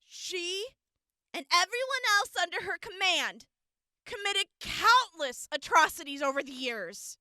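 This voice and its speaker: Woman acting a gentle earnest wasteland medic girl on the stand, high bright voice, big pauses, radio drama. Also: very animated, no echo, full character voice. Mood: sorrowful, candid. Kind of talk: audio drama courtroom testimony.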